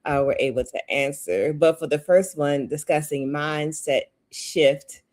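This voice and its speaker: A woman guest answering interview questions on a video call, polite and measured. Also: low-pitched, a little mellow. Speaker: guest, consultant.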